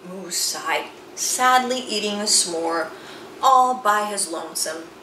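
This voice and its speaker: Animated, bright-voiced woman reading aloud. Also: medium pitch, reverberant, a little hiss or hum, rough recording. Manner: expressive storytelling, slow and animated. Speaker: children's book reader. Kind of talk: picture book read-aloud. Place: room with bookshelves and chalkboard, indoors.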